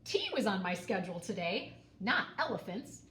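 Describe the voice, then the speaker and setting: A woman reads as a fussy, practical gentleman pig from a picture book, clipped and dismissive. The voice is animated in pitch and echoes in a room with faint noise. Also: moderate pace. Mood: flustered. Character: fussy, practical male pig, easily displeased. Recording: roomy echo, faint background noise.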